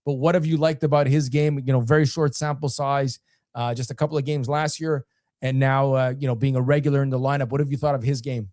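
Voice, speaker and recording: A man co-hosting a podcast in a relaxed, casual way, dropping in quick interjections between his questions. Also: mid-range voice, no echo, clean studio sound. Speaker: podcast co-host.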